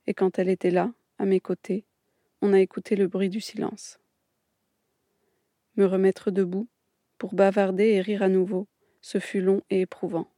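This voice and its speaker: Woman narrator, voice-over, monotone, dark tone, dry sound. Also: mid-range voice, no room sound. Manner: measured, reflective reading. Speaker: documentary narrator.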